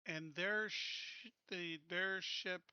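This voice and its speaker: A man's voice: high-pitched, fairly even intonation, very slow, bright, forceful tone, clear, resonant tone, dry recording, lo-fi sound. A man playing a tabletop game, asking questions and making plans in casual chat. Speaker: player.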